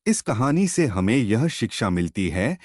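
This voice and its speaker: Slow, low-voiced male storyteller. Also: sing-song, darkish tone, no room sound, clean studio sound. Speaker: storyteller, narrator. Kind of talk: scripted moral story narration for a cartoon video.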